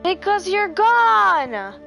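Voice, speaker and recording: A woman's voice: very high voice, big pitch swings, gravelly, pushed and bright, faint music behind. A woman casually reacting to a video game, playful and teasing. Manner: playful, teasing, drawn-out talk. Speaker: gaming streamer. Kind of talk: casual reacting over gameplay.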